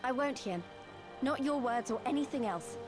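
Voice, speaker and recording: A woman's voice: high-pitched, lively intonation, moderate pace, music in the background. A woman playing a spirited, earnest and determined young fighter in a video game.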